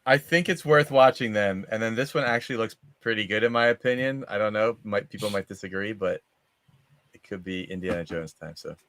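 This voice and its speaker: A man's voice: fairly low pitch, brisk, a bit bright, dry recording. A man hosting a movie discussion show on a video call, chatting casually. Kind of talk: casual chat.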